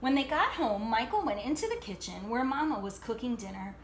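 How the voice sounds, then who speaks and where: A woman reading a picture book aloud to children like a teacher, expressively, pausing to explain and check in with her young listeners. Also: a little high, animated, a little hiss or hum. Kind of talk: read-aloud storytelling with asides to young listeners.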